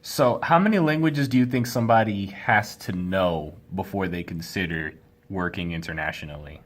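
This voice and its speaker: A man with a very low voice asks questions in an easygoing, conversational way. He often repeats himself as he frames each question. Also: normal speed. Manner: conversational, frequent back-channel murmurs. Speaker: interviewer. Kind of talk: casual interview questions.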